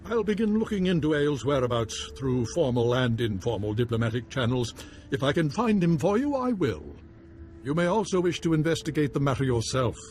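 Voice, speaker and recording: Courtly, lively-pitched actor as a noble baron. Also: male voice, a little fast, faint music behind, natural voice. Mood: gracious, formal. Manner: formal, courtly phrasing. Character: courtly male noble baron, gracious lord of the house. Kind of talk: video game voice acting.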